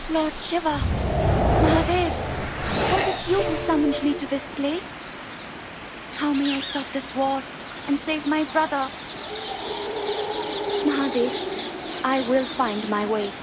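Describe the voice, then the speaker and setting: A young video game heroine with a high voice and unhurried delivery. She is reverent and tender, with a determined core. Birds and outdoor noise sound clearly behind her. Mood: reverent, questioning.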